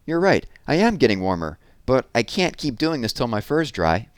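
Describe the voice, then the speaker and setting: A man's voice: medium pitch, sing-song, moderate pace, no echo, clean studio sound, voice pushed up, close to an everyday voice. A man voicing a soggy, sorry-for-himself male teddy bear in a storybook, plaintive and earnest. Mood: eager.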